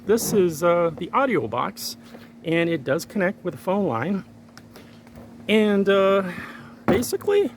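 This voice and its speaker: A man's voice: on the high side, vocal fry, a little hiss or hum. A man presenting a casual hands-on tech demonstration, explaining technical steps plainly and informally to his viewers. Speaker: hobbyist presenter of a radio and satellite tech vlog.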